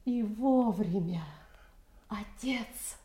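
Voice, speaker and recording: An actress playing a cold, haughty princess in a classical audio drama, addressing her aged father with measured condescension. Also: mid-range voice, dark tone. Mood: cold, firm. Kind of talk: Russian classical stage drama acting, audio play. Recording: roomy, echoing studio audio play.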